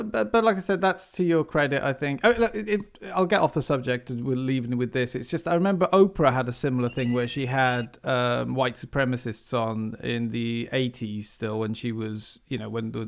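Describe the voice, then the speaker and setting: A man hosting a casual interview, his voice carrying a gravelly fry. He talks effusively, stumbling and repeating words as he gets going. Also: medium pitch, brisk, hardly any pauses. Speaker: podcast host.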